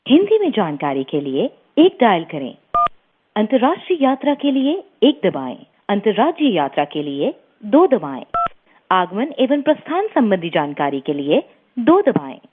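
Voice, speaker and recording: A woman's voice: mid-range voice, very animated, moderate pace, lightly acted. A woman voicing a formal, impersonal automated helpline menu on a narrow, noisy phone line. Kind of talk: recorded phone menu announcement.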